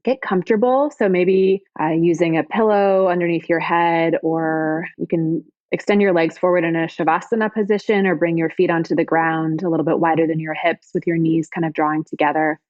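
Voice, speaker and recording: Female meditation guide, lower register, soothing and unhurried, very dry and somewhat muffled lo-fi sound. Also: dry recording. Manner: soothing, gentle, unhurried phrasing with pauses. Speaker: yoga teacher guiding meditation. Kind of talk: guided body scan meditation.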